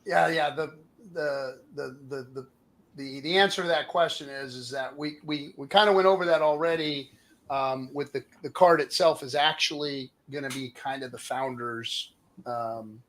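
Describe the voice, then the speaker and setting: Male livestream host, bright and clear-cut tone, mid pitch, informal explainer style. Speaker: livestream host answering viewer questions. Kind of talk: casual livestream chat.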